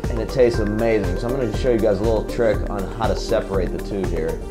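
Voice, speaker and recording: A man's voice: on the low side, fast, over music. A young man chatting casually to his viewers while cooking in his home kitchen, loose and slangy, on a camera mic by the stove. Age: young adult. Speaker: vlogger.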